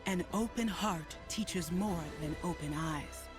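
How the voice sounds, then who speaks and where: A serene, wise warrior woman from a video game, speaking with measured solemnity. Music plays clearly behind her lines. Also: on the low side. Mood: calm, resolute.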